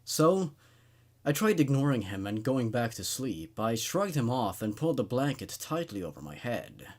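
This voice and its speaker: A man hosting a horror story reading, narrating in an expressive, conversational, laid-back way. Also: mid-range voice, moderate pace. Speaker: host reading horror stories aloud.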